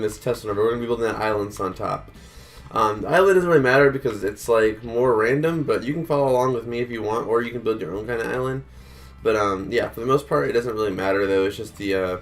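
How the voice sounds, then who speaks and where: Man, low gravelly voice, slightly sick, laid-back tutorial host. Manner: relaxed, counts blocks aloud, many fillers. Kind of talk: casual step-by-step tutorial.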